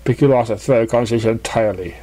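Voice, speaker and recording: A narrator reads a scripted piece of fan fiction aloud. The voice sits at an in-between pitch as it tells the story. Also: racing, dark tone.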